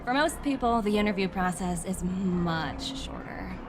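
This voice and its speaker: A woman's voice: mid-range voice, expressive, unhurried, natural voice. A woman voicing a confident, easygoing young executive in an anime-style video game. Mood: casual, amused. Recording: music under the voice.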